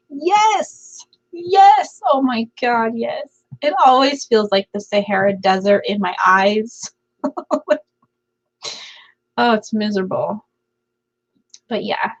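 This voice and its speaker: A woman's voice: medium pitch, husky. A woman hosting a livestream from home, chatting casually with viewers while her voice is scratchy and fading, with lots of laughter and fillers. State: scratchy, half-lost voice. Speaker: livestream host. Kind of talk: casual chat reading viewer comments.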